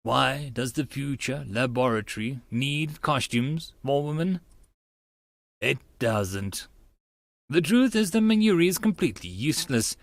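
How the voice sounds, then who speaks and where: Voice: mid-range voice, lively intonation, measured pace, gravelly, dry recording, clean studio sound. An audiobook narrator giving a theatrical, emphatic first-person reading of an anime-style story. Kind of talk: dramatic first-person reading of a visual novel.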